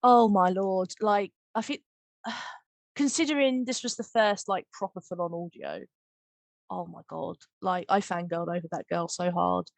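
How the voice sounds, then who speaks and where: A woman's voice: lowish voice, fairly even intonation, measured pace, creaky, no echo. A woman hosting a casual podcast about making audio drama, chatting in an effusive, informal, giggly way.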